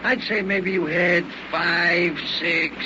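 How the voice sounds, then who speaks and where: Slangy American cab driver, high-pitched, eager, chatty, radio play, heavy noise on old recording. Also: male voice, fairly even intonation, slow pace, muffled recording. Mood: knowing, chatty. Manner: folksy, slangy, talkative. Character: chatty, knowing, eager big-city cab driver man. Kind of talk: old-time radio drama acting.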